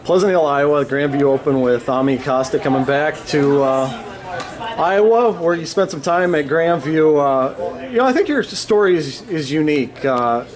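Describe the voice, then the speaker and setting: A man's voice: a little high, lively intonation, moderate pace. A man interviewing a coach at a wrestling tournament, conversational and restarting his questions. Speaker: wrestling reporter. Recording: loud arena crowd behind. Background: crowd noise.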